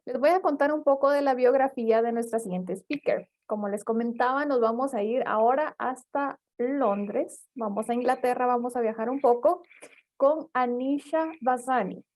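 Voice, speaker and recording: Woman, event co-host, fairly high voice, mellow tone, steady announcer delivery, video call. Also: normal speed. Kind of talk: reading a speaker introduction.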